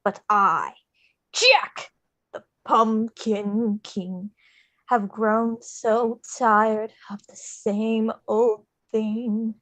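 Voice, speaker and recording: A woman reading theatrical parts in a table read of an animated film script, with a slow, wistful delivery. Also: a little high, no echo. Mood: weary, longing. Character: wistful stitched-together rag doll young woman and weary skeleton king.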